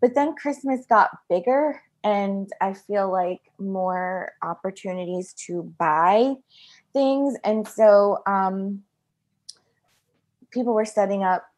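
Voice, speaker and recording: A woman in casual podcast conversation, relaxed and thoughtful, laughing often. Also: medium pitch.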